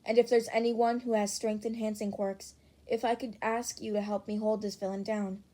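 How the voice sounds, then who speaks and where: A woman's voice: medium pitch, flat delivery, moderate pace, dark tone, close to an everyday voice. A woman voicing a polite, earnest teenage boy superhero in training for an audiobook. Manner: courteous, earnest.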